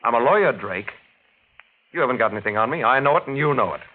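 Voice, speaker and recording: An actor playing a cocky, impatient lawyer in a 1940s radio mystery, brash and challenging. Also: male voice, mid-range voice, expressive, fast talker, natural voice. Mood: defiant, impatient. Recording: tinny, noisy 1940s radio recording.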